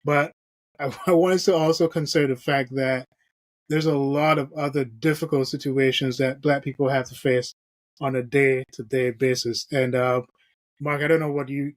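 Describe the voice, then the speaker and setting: A man hosting a podcast, earnestly reading from an article and filling pauses with "you know". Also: mid-range voice, little pitch movement, no echo. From Barbados. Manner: earnest, reads aloud from an article with frequent fillers. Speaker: podcast host. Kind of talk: podcast discussion.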